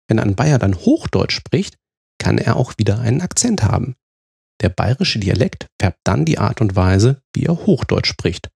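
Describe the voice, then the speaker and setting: A man from Germany giving a scripted, measured explanation step by step, like a presenter. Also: lowish voice, creaky, a little mellow, slightly rough, no echo, clean studio sound.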